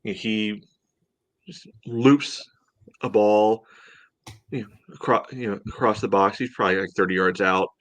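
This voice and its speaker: A man hosting a soccer podcast, chatting casually through match analysis in a halting way full of filler phrases. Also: lowish voice, steady tone, fairly bright tone, no room sound. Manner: halting, peppered with "you know".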